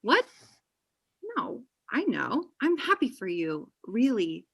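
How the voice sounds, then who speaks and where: A woman playing a chatty, high-energy friend in a comedy table read on a video call, with an animated, gushing manner. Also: slow, vocal fry, exaggerated voice. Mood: defensive, giddy. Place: small indoor room on a video call. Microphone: computer mic on video call.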